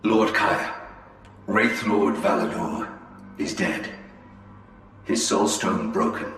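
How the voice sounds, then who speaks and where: An actor playing a cunning, haughty alien elf spymaster in a video game, speaking in a measured, formal, sly manner. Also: male voice, low-pitched, steady tone, fairly bright tone. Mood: grave, scheming. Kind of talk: video game cutscene acting. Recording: cavernous long reverb, distant, faint music.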